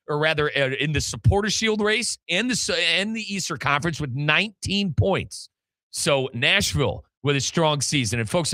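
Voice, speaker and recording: A man with an American accent hosts sports radio in an energetic style. His voice is bright and forceful, pitched a little high for a man, and recorded dry and close in a studio. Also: no echo. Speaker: sports radio show host and play-by-play announcer.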